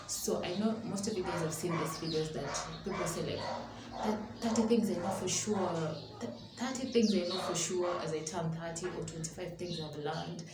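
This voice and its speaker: A woman's voice: on the low side, normal speed. A woman, chatting casually to camera as a vlogger and often rephrasing herself. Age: early 20s, twenty-one. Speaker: YouTube vlogger. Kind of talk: casual sit-down chat to camera. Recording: echoey room with clear background noise.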